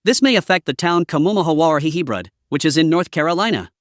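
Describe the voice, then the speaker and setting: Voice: medium pitch, expressive, fast talker, no echo, clean studio sound. A presenter improvising dramatic mock breaking-news commentary for a fictional emergency scenario.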